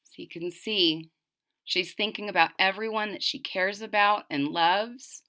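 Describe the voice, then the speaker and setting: A woman reading a children's story aloud expressively and deliberately, pausing to explain it simply to young listeners. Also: mid-range voice, pushed and bright. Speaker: storybook reader.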